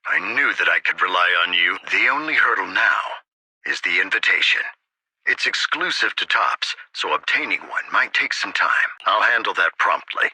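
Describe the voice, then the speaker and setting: An actor playing an authoritative, earnest male city mayor in a video game, speaking formally and deliberately. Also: sits low, expressive, bright, forceful tone, husky, dry recording, heavily acted, low-quality recording. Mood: earnest, grateful.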